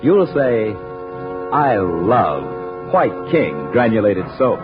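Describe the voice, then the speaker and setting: Man, old-time radio announcer, emphatic, lively intonation, scripted, dull vintage recording. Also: on the low side. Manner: polished, emphatic, deliberate emphasis. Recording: muffled old radio, music behind.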